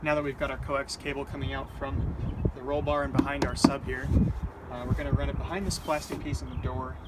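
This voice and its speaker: A man talks through a hands-on installation in an informal, step-by-step way. His mid-pitched voice sits away from the microphone, with loud outdoor vehicle noise all around it. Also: flat delivery, a little fast, distant mic. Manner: informal, explaining step by step as they work. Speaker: DIY installation host. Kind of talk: casual hands-on tutorial narration.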